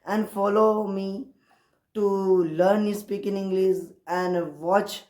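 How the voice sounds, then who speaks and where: A woman with an Indian accent and Hindi as her native language, talking casually and haltingly in learner's English, repeating herself to encourage viewers. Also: medium pitch, steady tone, dark tone. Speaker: English-learning video creator. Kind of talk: casual motivational talk to camera. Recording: roomy, echoey sound.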